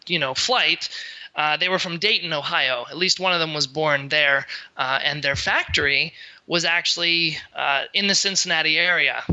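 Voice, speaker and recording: A young man talks as a podcast guest with a strikingly bright, fairly high voice. He tells his story casually, wanders off into explanations and fills his pauses with ums and uhs. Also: clear, resonant tone, poor audio. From Pennsylvania. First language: English. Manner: chatty, many fillers, digressive explanations.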